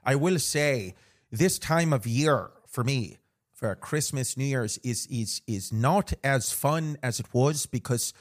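A man's voice: mid-range voice, slow pace, pitched below the performer's own voice, natural voice. A man putting on an Irish accent as a jokey, profane, rambling podcast host in comic banter. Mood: reflective. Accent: put-on Irish. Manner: rambling, giggly, swearing.

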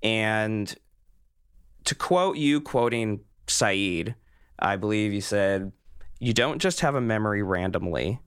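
Clear-voiced, low-pitched man interviewing. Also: lively intonation, takes it slowly, a bit bright. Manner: earnest, thoughtful, articulate. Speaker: podcast host, interviewer. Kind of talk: reflective, personal interview conversation.

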